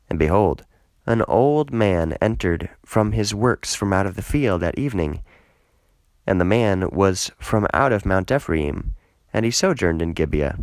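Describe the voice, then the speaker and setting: Deep-voiced man reading scripture, dry recording. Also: no room sound. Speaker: audiobook scripture reader. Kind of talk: scripted narration, Bible reading.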